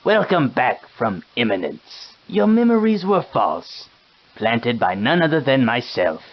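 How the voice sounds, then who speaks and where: An actor playing a genteel, verbose shopkeeper with a villain's streak, in formal, archaic, theatrical game dialogue. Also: male voice, medium pitch, big pitch swings, measured pace, highs cut off. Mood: smug, sinister. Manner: formal, archaic, florid wording. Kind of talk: theatrical period game acting.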